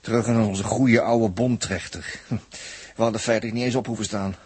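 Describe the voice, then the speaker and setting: A man acting an excitable, wry spaceship crewman in a 1960s radio drama, husky, repeating himself in exclamations. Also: fairly low pitch, moderate pace. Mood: wry relief.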